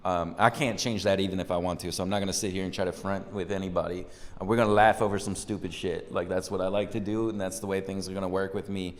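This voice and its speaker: A man's voice: sits low, steady tone, fast, hardly any pauses, big-room sound. A man in his thirties, a podcast guest, talking in a goofy, laid-back, joking way into a handheld mic. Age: 30s. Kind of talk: casual chat. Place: room with dark backdrop, seated in a folding chair.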